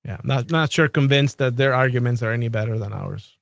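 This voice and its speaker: Man, from Colombia, measured, thoughtful, casual podcast talk, dry close sound. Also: mid-range voice, gravelly, no room sound. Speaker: podcast host. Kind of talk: casual conversation.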